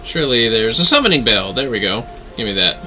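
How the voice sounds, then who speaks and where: A man's voice: mid-range voice, very animated, a little fast, sharp tone, soft background music. A man casually chatting as he streams a fantasy online game, musing playfully to himself. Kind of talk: casual gameplay livestream chat.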